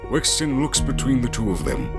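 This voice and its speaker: A man's voice: mid-range voice, very animated, moderate pace, loud music behind the voice. A man narrating a fantasy audio drama in a theatrical, scripted storytelling style.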